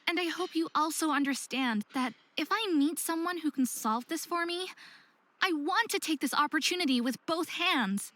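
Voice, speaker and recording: A woman's voice: high-pitched, normal speed, pushed and bright. A woman playing a troubled, self-hating young half-faerie in a visual novel, with earnest, emotional delivery. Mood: anguished. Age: young adult.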